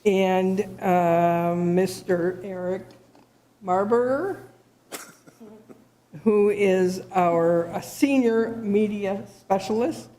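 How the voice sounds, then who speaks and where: A woman who is board president introducing members and staff at a public meeting, with a halting delivery and frequent fillers. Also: medium pitch, warm tone. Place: township meeting room.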